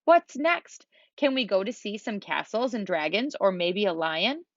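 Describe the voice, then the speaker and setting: A woman voicing an eager, adventurous young boy who asks questions excitedly, in a dull, dry recording. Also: mid-range voice, a little slow, no room sound.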